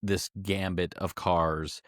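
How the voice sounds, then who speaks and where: A man's voice: low-pitched, little pitch movement, slow, dry recording, clean studio sound. A man co-hosting a casual motorsport podcast, thinking aloud with lots of restarts and eager remarks. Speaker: podcast co-host. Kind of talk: casual chat.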